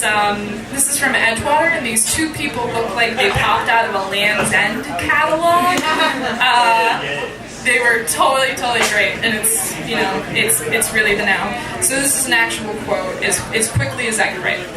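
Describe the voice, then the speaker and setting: A woman reads her poetry live in a higher-pitched voice, with deliberate phrasing and a frank, informal streak. She is picked up from a distance in an echoey room, with a loud crowd around her. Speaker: poet, featured reader. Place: live reading in a cafe.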